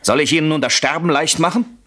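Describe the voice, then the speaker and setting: An actor playing a betrayed husband out for revenge in a German radio thriller, with a polite, mocking and commanding manner. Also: male voice, a little high, fast, a bit bright. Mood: coolly menacing. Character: coolly menacing betrayed husband plotting revenge on rival.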